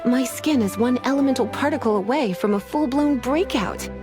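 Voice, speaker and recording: Lady voicing a teasing game witch librarian, coy n playful, fairly high voice, music behind. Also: close to an everyday voice. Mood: wry. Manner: coy, unhurried, playful phrasing. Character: flirty, teasing, languid sorceress librarian. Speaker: librarian and mage. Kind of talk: fantasy video game voice acting.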